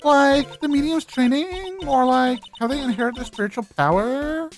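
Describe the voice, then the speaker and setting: Ditzy, carefree college girl for a game, performed by a woman; high voice, airy valley-girl delivery. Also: normal speed, faint music behind, lightly acted. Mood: vague, carefree. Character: ditzy, carefree young female college student. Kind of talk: video game dialogue dub.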